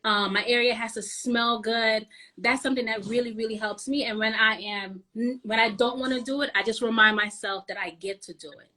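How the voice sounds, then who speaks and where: A woman in her thirties with a bright, crisp-edged and fairly high voice. She chats casually as a guest, speaking candidly and earnestly. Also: steady tone, a little fast, a little hiss or hum. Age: 30s. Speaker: beauty guest. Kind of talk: casual chat.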